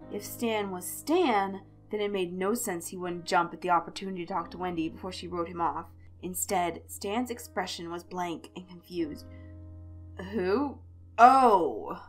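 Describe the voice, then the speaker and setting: A woman reads a scripted story as an expressive narrator. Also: fairly low pitch, normal speed, poor audio. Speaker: fan fiction narrator. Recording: music behind the voice. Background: instrumental music.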